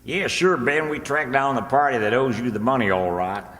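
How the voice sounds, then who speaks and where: A man's voice: mid-range voice, moderate pace, fairly bright tone, soft background music, close to an everyday voice. A man playing a folksy small-town storekeeper in an old radio comedy, bargaining a little hesitantly. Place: stage in a hall before a live audience. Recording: echoey hall, long reverb.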